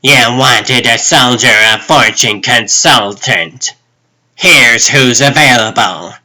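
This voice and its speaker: A man's voice: medium pitch, slow pace, pushed and bright. A man voicing a dutiful but exasperated assistant in a comic dub, speaking pointedly.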